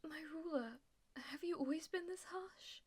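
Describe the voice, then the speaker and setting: A woman's voice: extremely high pitch, normal speed. A woman playing a theatrical, delusional schoolgirl in an audio roleplay, speaking in grandiose formal phrases and stammering when flustered. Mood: flustered, timid. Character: melodramatic, delusional schoolgirl who fancies herself a demon lord's aide. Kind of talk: ASMR audio roleplay acting.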